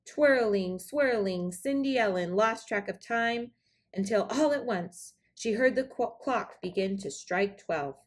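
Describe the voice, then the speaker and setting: A woman reads a children's story aloud. Her voice is medium in pitch, and she tells the tale in an expressive storybook style. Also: unhurried. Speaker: storybook reader.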